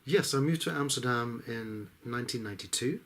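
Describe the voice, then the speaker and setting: A middle-aged man from the of England, a business coach, telling his life story in a reflective way with frequent pauses and hesitations. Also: mid-range voice. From England, Exeter. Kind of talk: personal life story told to camera.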